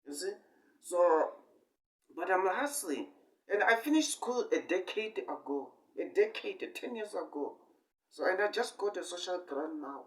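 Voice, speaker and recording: A man in his twenties giving a rambling improvised monologue in halting, repetitive short phrases with sudden emphatic bursts. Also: high-pitched, lively intonation, a bit bright. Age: 20s. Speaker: amateur online speaker. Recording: distant microphone.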